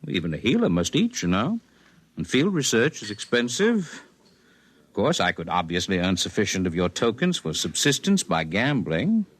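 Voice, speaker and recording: Superior, serene alien healer with lively intonation. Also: male voice, lowish voice, moderate pace, slightly rough, exaggerated voice. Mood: lofty, explanatory. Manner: formal, precise, lecturing, gently condescending. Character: serene, superior alien healer, centuries old, posing as human. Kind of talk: 1950s radio drama acting. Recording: vintage 1950s radio recording.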